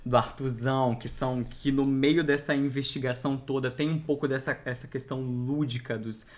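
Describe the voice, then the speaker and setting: A man in a casual book discussion, speaking in a measured way and repeating words as he builds his point. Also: medium pitch, fairly even intonation. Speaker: co-reviewer.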